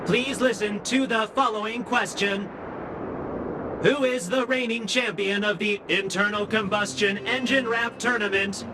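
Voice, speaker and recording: A man's voice: sits high, fairly even intonation, measured pace, background noise. A man voicing an officious robot gatekeeper in a video game, formal and emotionless. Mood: impassive, procedural. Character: officious robot gatekeeper machine running certification tests. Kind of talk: scripted video game voice acting. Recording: music behind the voice. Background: game music.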